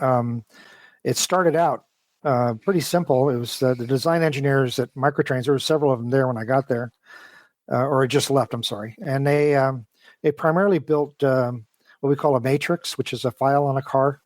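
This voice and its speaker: Male interviewee, engineer type. Chatty, wandering, stop-start delivery, average pitch, close dry sound. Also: steady tone, no room sound. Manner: rambling, halting, talkative. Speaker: interview guest, model train design engineer. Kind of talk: casual, detailed interview talk.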